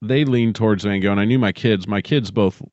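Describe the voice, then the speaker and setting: Man, middle-aged, podcast storyteller, fairly low pitch, very dry close sound, muffled audio. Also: a little fast, a little mellow, dry recording. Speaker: podcast co-host and amateur short story writer. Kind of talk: dramatic story reading and casual podcast chat.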